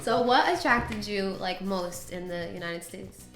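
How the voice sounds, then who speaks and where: A woman hosts a casual chat, speaking informally with lots of "like". Her pitch is average, and the recording has noticeable room echo with music in the background. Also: unhurried. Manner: informal, peppered with "like". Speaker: interviewer, host.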